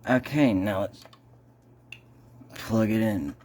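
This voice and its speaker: A man hosting a casual, unscripted unboxing video, speaking haltingly and muttering to himself as he goes. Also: fairly low pitch, lively intonation, darkish tone, slight background noise. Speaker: video host doing an unboxing.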